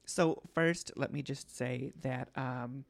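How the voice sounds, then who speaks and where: A man hosting a podcast, slightly hoarse today, explaining things casually with many ums. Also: mid-range voice, steady tone, slow pace. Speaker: podcast host.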